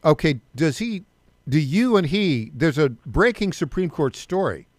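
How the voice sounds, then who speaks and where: A man's voice: fairly high pitch, animated, a little slow, no room sound. A man hosting a talk radio show, interviewing a guest with direct questions and frequent stumbling restarts.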